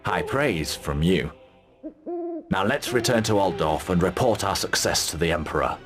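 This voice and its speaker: An honourable fantasy army commander. His voice is on the low side, formal and commanding. Music plays clearly behind his terse game cutscene lines. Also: lively intonation, normal speed. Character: stern honourable male mercenary army commander.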